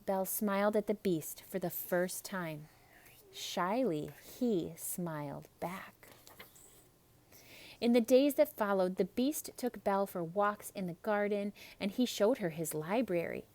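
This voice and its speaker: A woman reading a children's story aloud in an expressive, theatrical narrating style. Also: mid-range voice, measured pace. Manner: expressive, dramatic.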